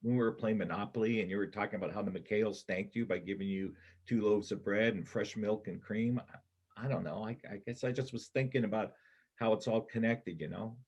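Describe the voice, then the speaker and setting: A man playing a doubtful, wistful bank robber on the run in a reader's theater play, speaking in a hesitant, halting way. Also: fairly low pitch, darkish tone, breathy edge, dull audio. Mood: regretful. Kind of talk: reader's theater stage acting.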